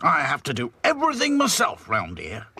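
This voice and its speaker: A man's voice: on the high side, huge pitch swings, normal speed, bright, forceful tone, slight background noise, pitched above the performer's own voice, exaggerated voice. A man voicing a grumpy, harried factory boss who frets and complains. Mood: fretful. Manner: fretful, grumbling.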